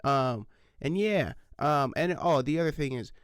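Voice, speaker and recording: A man chatting loosely into an old desk microphone at home, his voice smooth and resonant. He rambles through fillers and restarts, curses offhandedly and chuckles at himself. The sound is close and dry, with no room around it. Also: on the high side, expressive, unhurried, no room sound. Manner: rambling with many fillers and restarts, swears casually, laughs at himself. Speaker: reaction channel host. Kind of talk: casual rambling vlog chat. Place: home recording setup, sitting at desk. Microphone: old desk microphone, sitting close.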